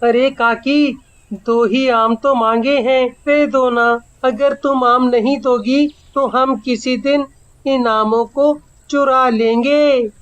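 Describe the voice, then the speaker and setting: A woman's voice: high voice, fairly even intonation, takes it slowly, poor audio. A woman voicing a cheeky, hungry village child in a cartoon story, whining and pleading. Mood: cheeky nagging.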